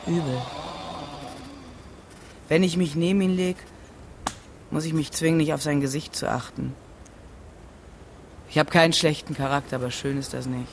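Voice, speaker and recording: A woman's voice: sits low, steady tone, normal speed, long pauses, slight background noise. An actress playing a brusque, defiant married woman under questioning in a German radio play, answering with curt sarcasm and evasion. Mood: sarcastic, evasive.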